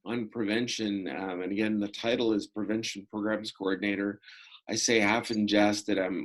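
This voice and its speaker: A middle-aged man answering interview questions in a measured, explanatory way with halting pauses. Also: lowish voice, rough recording. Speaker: interview guest, school prevention programs coordinator.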